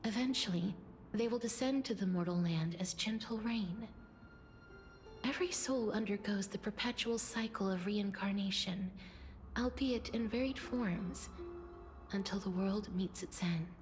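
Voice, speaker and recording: A woman voicing a gentle young demigod of death in a video game, softly and breathily, with a measured, solemn tenderness. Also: medium pitch, lightly acted. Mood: wistful, serene. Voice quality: soft, breathy, whispery. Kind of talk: dramatic video game voice acting. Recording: music under the voice.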